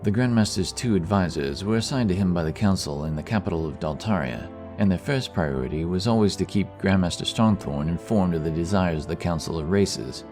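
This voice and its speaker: A man's voice: low voice, a little fast, few pauses, darkish tone. A man reading scripted narration for a fantasy audiobook. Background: music.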